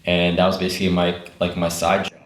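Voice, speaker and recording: A man in his twenties, from Ukraine with Russian as his first language, talking casually as an interview guest in a relaxed, rambling way full of fillers and restarts. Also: deep voice, fast, reverberant. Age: 20s. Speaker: guest, travel YouTuber.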